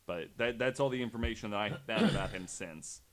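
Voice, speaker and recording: Low-pitched man telling a story casually. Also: brisk, fairly bright tone. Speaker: podcast panelist telling a true story.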